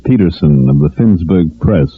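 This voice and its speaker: Undercover agent, brisk, informal, low voice, vintage radio drama, tinny sound. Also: male voice, expressive, loud music behind the voice. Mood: confiding, businesslike. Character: male undercover agent posing as an eager newspaper reporter. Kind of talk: 1940s radio drama acting. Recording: noisy, tinny old radio recording.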